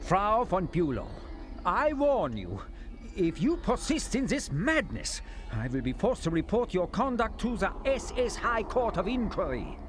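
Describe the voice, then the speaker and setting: An actor playing an anxious, bookish Nazi scientist in a game cutscene, with a German accent and a pedantic, pleading manner. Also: male voice, sits high, wildly swooping pitch, measured pace, music in the background, exaggerated voice. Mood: alarmed, pleading. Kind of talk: dramatic game cutscene acting.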